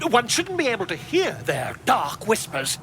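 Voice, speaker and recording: High-pitched, pompous eager scholar. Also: male voice, wildly swooping pitch, normal speed, bright, forceful tone, faint music behind, exaggerated voice. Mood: curious, incredulous. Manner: chatty, theatrical, pompous. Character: eager, flustered, self-important male amateur scholar. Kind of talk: video game character dialogue.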